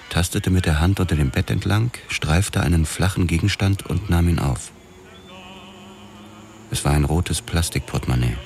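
An actor narrating as a weary, sardonic police detective in a radio drama, with dry and clipped delivery. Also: male voice, low-pitched, darkish tone.

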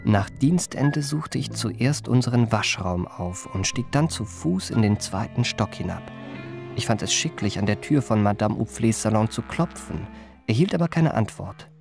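A man's voice: lowish voice, lively intonation, moderate pace, gravelly, over music. An actor playing a suave, self-regarding confidence man, narrating his memoirs in a radio play with ornate, drily precise phrasing. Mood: composed, wry. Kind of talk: literary first-person radio play narration.

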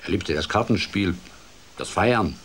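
An actor playing a playful storyteller who tells a children's fairy tale in an expressive, theatrical way for a radio drama. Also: male voice, low voice, a little slow, a little hiss or hum. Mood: playful, musing.

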